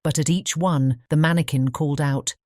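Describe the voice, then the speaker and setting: A woman's voice: low voice, steady tone, moderate pace, a little mellow, dry recording, clean studio sound. A woman with a British English accent reading scripted fairy tale narration as an audiobook narrator.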